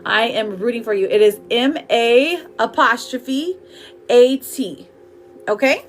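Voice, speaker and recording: A woman's voice: on the high side, takes it slowly, a little hiss or hum. A woman hosting a live tarot reading, chatty and informal, talking affectionately to her viewers. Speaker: tarot reader hosting a livestream. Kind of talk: casual live chat while reading cards.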